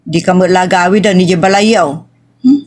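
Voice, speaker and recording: A woman's voice: lowish voice, fairly even intonation, normal speed, darkish tone, lightly acted. A woman playing an older mother who questions and advises her married daughter in a family audio drama. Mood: probing. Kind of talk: Ilocano family radio-style drama acting.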